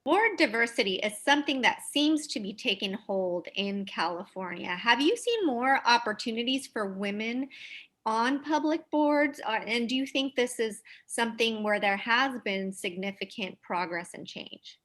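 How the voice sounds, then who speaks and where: A woman's voice: fairly high pitch, expressive, moderate pace, fairly bright tone. A woman hosting a podcast interview, upbeat and reading prepared introductions and questions. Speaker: podcast host.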